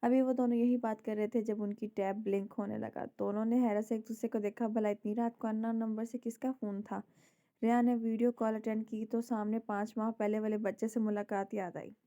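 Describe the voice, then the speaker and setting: A woman with a sore, hoarse throat reading a romance novel aloud with dramatic storytelling. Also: on the high side, flat delivery, fairly quick, dark tone. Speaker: novel narrator.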